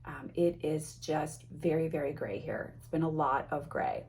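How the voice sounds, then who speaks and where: A woman's voice: deep voice, normal speed, a little mellow. Chatty, sniffly woman vlogger. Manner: chatty, effusive, with restarts. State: sniffly from allergies. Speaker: tarot content creator. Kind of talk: casual chat to viewers. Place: home.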